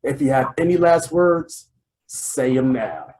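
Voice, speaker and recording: A man's voice: medium pitch, lively intonation, slow pace, a little mellow. A man playing a menacing, impatient gang boss in an old-time radio crime drama, bossy and sarcastic with tough gangster slang. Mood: menacing, sardonic.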